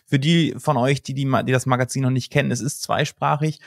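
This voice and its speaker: A man in his thirties hosting an interview, talking quickly and enthusiastically to his guest and to the viewers. He speaks into a studio microphone on a video call. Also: mid-range voice, steady tone, gravelly, no echo. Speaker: host.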